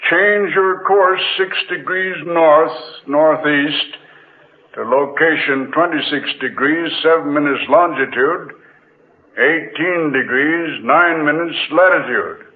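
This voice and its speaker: An actor playing a troubled, suspicious sea captain in a halting, weighty old-time radio drama style. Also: male voice, fairly high pitch. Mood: uneasy, halting. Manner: slow, halting, weighty delivery. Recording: muffled old radio recording.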